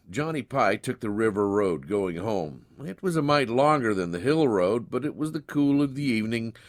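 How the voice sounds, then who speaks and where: A man narrating a scripted folk tale as a storyteller, in a folksy, expressive, dramatized way. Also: mid-range voice.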